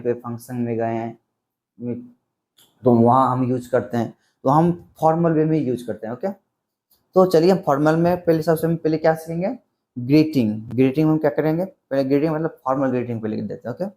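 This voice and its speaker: A man with a soft-edged, mellow tone at a medium pitch. He teaches like a tutor for beginners, explaining things step by step. He often repeats his example phrases. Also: brisk. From Bihar, India. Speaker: English teacher. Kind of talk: spoken English lesson explained in simple Hindi.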